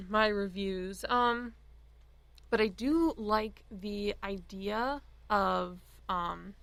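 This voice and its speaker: A woman talks casually as a podcast panelist, with plenty of vocal fry in a mid-pitched voice. She sounds close and dry, with no room around her. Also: very slow, no echo. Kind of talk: casual chat.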